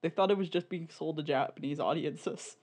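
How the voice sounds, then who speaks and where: A woman with a slightly low voice talks casually as a podcast co-host. Her phrasing is informal and loose, sprinkled with "like" as she works through a point in discussion. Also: moderate pace, a little mellow. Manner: informal, peppers talk with "like" and laughs easily.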